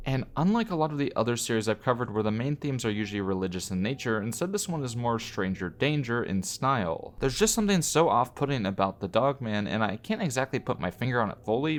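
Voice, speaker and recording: A man reading a scripted video review as an essayist, in an earnest way with emphasis on key words. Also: fairly low pitch.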